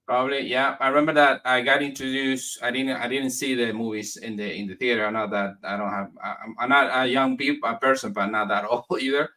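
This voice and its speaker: A man from Spain raised in the United States, a comic writer talking as a podcast guest in a friendly, halting way. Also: mid-range voice, fairly even intonation, pushed and bright, distant mic, low-quality recording. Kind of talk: casual interview chat.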